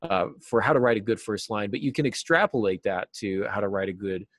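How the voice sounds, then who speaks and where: A man's voice: lowish voice, fairly quick, dry recording, studio quality. A middle-aged man giving conversational answers as a guest writing teacher in an interview, speaking over a video call from an office. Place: small office, indoors.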